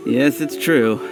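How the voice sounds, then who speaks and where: An actor voicing a chatty, easygoing villager in a video game, telling tales expressively. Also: male voice, on the high side. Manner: expressive storytelling. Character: chatty, easygoing male villager who loves gossip.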